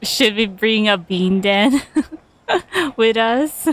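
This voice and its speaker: A woman's voice: fairly high pitch, expressive, very slow. A woman streaming a game with casual commentary, hesitantly thinking aloud as she goes.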